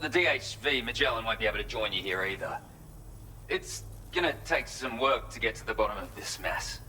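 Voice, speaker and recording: A man's voice: lowish voice, animated, brisk, bright, forceful tone, a little hiss or hum, rough recording. A man with an Australian accent playing an earnest, matter-of-fact facility worker in a video game. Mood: relieved, earnest. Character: grateful, businesslike male facility worker. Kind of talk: video game cutscene acting.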